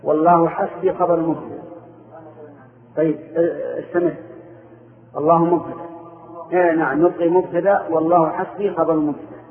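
A man's voice: on the high side, fairly even intonation, brisk, long pauses. Quizzing male grammar teacher, echoey. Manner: quizzing, brief confirmations. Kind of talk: classroom grammar lesson with questions to students. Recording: echoey room, phone-like narrow sound.

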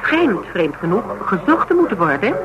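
An actress playing a sharp, scheming insurance investigator in a radio drama, teasing and talkative. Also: female voice, mid-range voice, big pitch swings, normal speed, lo-fi sound, lightly acted. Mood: sly, persuasive.

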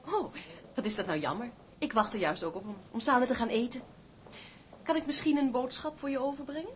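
An actress plays a forward, chatty lady reporter in a television drama. Her voice is very high and swoops eagerly. She is a little off the mic, with faint rumble behind. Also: lively intonation, brisk, recorded from a distance, lightly acted. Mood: polite, probing.

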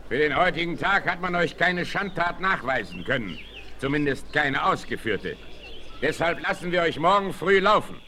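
Commanding, animated frontier hunter. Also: male voice, moderate pace. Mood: stern proclamation. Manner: booming, authoritative, declamatory. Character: stern, commanding frontier hunter, fair-minded leader and judge. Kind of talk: 1960s German radio play acting. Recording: faint noise, old vinyl record.

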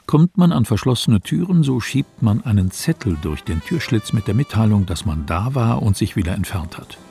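Low mellow man, very animated pitch. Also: moderate pace, vocal fry, faint music behind. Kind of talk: literary reading.

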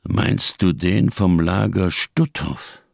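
A deep, gravelly giant of a man, a tattered survivor living in darkness. He declaims slowly with solemn, bitter irony, in the manner of a radio crime play. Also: no room sound, clean studio sound, heavily acted. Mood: grim, ironic. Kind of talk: German radio crime drama acting.

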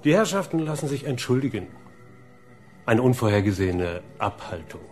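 An actor playing a stiff, haughtily polite club attendant in a radio play, speaking in a stilted, formal way. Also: male voice, medium pitch, sing-song, normal speed, a little mellow, soft background music, natural voice. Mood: coolly polite. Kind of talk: radio drama acting.

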